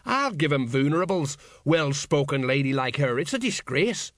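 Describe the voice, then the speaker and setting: A man's voice: a little high, very animated, normal speed, a bit bright, no echo, clean studio sound. A man voicing a blustering, anxious older watch sergeant who grumbles in colloquial speech, in an audiobook story. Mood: indignant.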